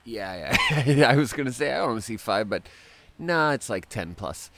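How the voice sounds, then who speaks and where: A man's voice: mid-range voice, animated, moderate pace, fairly bright tone, no room sound. A man in his thirties from Sweden, hosting a podcast in casual chat. He laughs often while telling rambling anecdotes. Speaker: podcast host.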